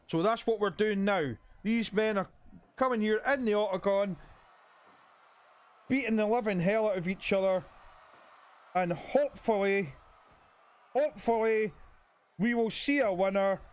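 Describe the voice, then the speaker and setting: A man with a hoarse voice commentating a video game wrestling match and hosting his channel, with an announcer's style. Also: measured pace, pauses often. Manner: hype announcer, booming when calling the finish.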